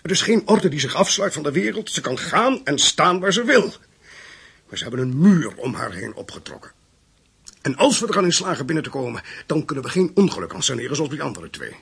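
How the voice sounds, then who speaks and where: A fretful hired crook in a radio play. His raspy, creaky voice swings up and down as he snaps irritably and starts sentences haltingly. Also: fairly high pitch, very animated, fairly bright tone, exaggerated voice. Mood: irritated, fretful. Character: exasperated, nervy criminal plotter for hire. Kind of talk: radio drama acting.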